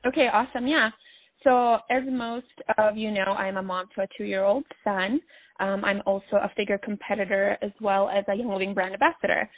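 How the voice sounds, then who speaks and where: A woman's voice: mid-range voice, fairly even intonation, a little fast, no echo. Earnest woman from Bosnia calling in, thin phone-line sound. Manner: earnest, reflective, with frequent fillers. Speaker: podcast guest. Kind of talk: phone interview. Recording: dry tinny phone line.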